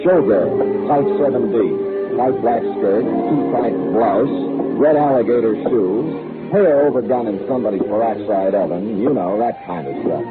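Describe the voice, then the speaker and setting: A man's voice: mid-range voice, natural voice. Guy playing hardboiled detective, sardonic and tired of it all, swingy delivery, tinny old radio w music behind. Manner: wisecracking, sardonic. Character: wry, world-weary hardboiled male private detective. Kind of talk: 1950s radio noir acting and narration.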